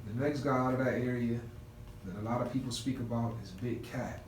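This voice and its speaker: A man interviewing a guest, calmly prompting with names and short questions. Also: medium pitch, a little fast. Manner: calm, prompting, asks by names. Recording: echoey room, distant mic, noise.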